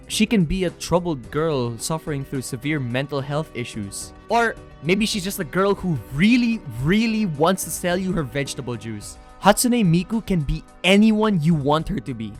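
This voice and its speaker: Young video essayist over background music. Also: mid-range voice. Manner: animated, casual, swearing, shifts between earnest and playfully exaggerated. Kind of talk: scripted video essay narration with joking asides.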